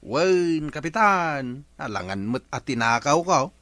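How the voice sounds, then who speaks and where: An actor playing an eager, friendly villager in a comedy radio drama, with an Ilocano accent and an exclaiming manner. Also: male voice, a little high, sing-song, a little slow, fairly bright tone, no room sound. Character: eager, friendly villager bringing garden vegetables.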